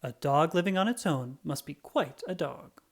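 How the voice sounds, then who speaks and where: A narrator reading a children's storybook aloud in a dramatic, expressive way. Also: mid-range voice, moderate pace. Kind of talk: animated scripted storybook read-aloud.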